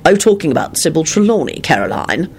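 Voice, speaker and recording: A woman voicing a sarcastic, quick-tempered man in a story, with a threatening edge. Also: on the low side, big pitch swings, slight background noise. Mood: cool disdain. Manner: sardonic, threatening. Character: coolly sarcastic, quick-tempered man, dangerous when angry. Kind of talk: audiobook character acting.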